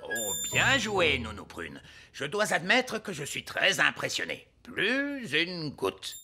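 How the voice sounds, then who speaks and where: Bossy old elf for a cartoon: gravelly, slow, declamatory and proud. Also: male voice, a little high, wildly swooping pitch, pushed and bright, soft background music, exaggerated voice. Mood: impressed. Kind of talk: French cartoon dub.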